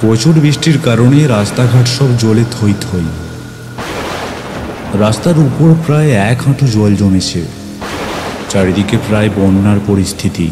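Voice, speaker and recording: Low-voiced earnest doctor telling a ghost story played by a man. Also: normal speed, pauses often, natural voice. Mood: suspenseful narration. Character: young village doctor Aniket, earnest, caring, telling his ghost tale. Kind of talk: dramatic first-person horror story narration. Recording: loud music behind the voice. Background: music.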